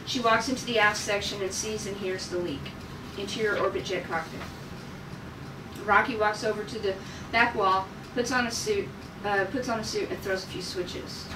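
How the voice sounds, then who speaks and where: A woman's voice: medium pitch, steady tone, moderate pace. A woman reading stage directions aloud as narrator at a table read of a script. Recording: echoey room, distant mic, noisy.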